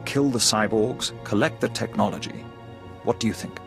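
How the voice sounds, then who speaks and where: A refined, quietly cruel military schemer in a sci-fi game. His voice is fairly low, precise and smug, under loud music. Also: normal speed, creaky. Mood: gleeful, scheming. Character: refined, coldly calculating male Imperial lieutenant, smugly cruel. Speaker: military officer character. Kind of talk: video game voice acting.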